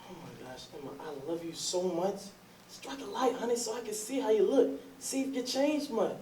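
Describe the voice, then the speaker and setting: A man's voice: high-pitched, expressive, moderate pace, darkish tone. A man playing an ardent, insistent suitor in his forties in a readers theatre drama, with folksy, effusive speech. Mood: ardent, eager. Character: ardent, insistent 42-year-old man courting his lost sweetheart. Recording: roomy, distant, noisy recording.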